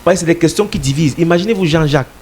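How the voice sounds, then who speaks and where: A man's voice: fairly high pitch, rapid-fire, a little mellow, a little hiss or hum. A man from Cameroon, a political party representative answering radio interview questions in an emphatic, insistent way, sometimes repeating words as he searches for them.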